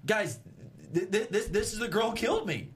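A man's voice: high voice, expressive, moderate pace. A man playing an awkward, exasperated young vampire who stammers and sulks through a comedy script read. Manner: stammering, self-correcting, sullen. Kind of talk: comedy pilot table read. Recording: faint background room noise.

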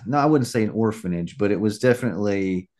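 A man from Alabama, co-hosting a podcast in casual, slangy, halting chat. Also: on the low side, animated, a little mellow. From Alabama, United States. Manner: slangy, laid-back, halting. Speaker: podcast co-host.

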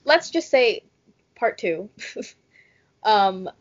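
A woman's voice: mid-range voice, sing-song, extremely slow, highs cut off. A young woman co-hosting a podcast in casual chat, talking loosely with many fillers and a wry, guarded tone. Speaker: podcast co-host.

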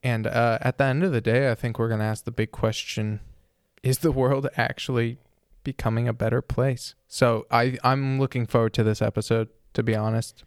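A man hosting a casual podcast, husky and sick with a sore throat, talking in a thoughtful, rambling way. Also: medium pitch, fairly even intonation, moderate pace, no room sound, studio quality. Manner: thoughtful, rambling, self-correcting. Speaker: podcast host.

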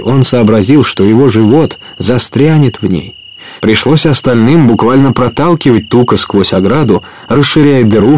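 A man's voice: medium pitch, a little mellow, slight background noise. A man narrating an adventure audio play as a storyteller, scripted and dramatic.

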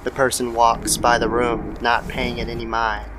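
A man's voice: medium pitch, flat delivery, normal speed. A man narrating a scripted horror story as an old-time style radio drama. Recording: noisy background ambience.

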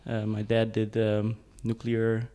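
A man in his thirties from Hungary, a tech co-founder, talking as a guest in a halting way with many restarts. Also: on the low side, monotone, warm tone. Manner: halting, restarts, searching for words. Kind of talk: casual interview chat.

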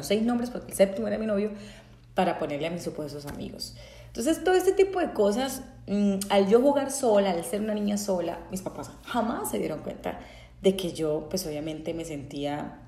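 Colombian woman, Santander accent, candid testimony, soft mellow tone, echoey room. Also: medium pitch, slight background noise. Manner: candid, reflective, many fillers and restarts. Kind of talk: personal testimony talk.

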